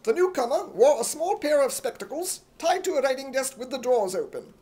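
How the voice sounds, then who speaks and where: A man's voice: very high-pitched, very animated, a little fast, warm tone. Male narrator from the United States, imitation British accent, scripted radio comedy. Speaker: solo performer narrating a script.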